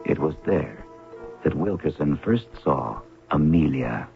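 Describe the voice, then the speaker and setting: A male scientist with a very deep, creaky voice, on a tinny old radio broadcast. He narrates his story in a reflective tone of regret. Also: lively intonation, measured pace, faint music behind, full character voice. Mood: regretful. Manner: sober, reflective, confessional. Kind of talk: first-person narration in old-time radio drama.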